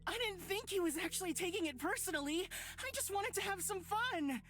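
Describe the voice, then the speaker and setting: A very high-pitched, hot-headed young character in a fantasy visual novel. The delivery is intense and spiteful, with volatile swings of temper. Faint music plays underneath. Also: female voice, animated, brisk, fairly bright tone. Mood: distraught. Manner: stammering, intense, dramatic delivery.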